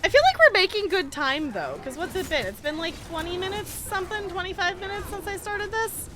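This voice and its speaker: A woman live streaming a game, chatting casually with viewers in an expressive, playful way. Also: very high-pitched, a little fast. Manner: expressive, playful exclamations and pleading.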